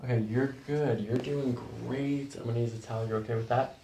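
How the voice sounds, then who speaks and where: A middle-aged man working as a chiropractor, calmly guiding a patient in short, reassuring phrases in an ASMR style. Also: medium pitch, a little fast, slight background noise, poor audio. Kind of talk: calm hands-on ASMR treatment talk, instructing a patient. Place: small carpeted treatment office, indoors. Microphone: microphones on boom stands near the table.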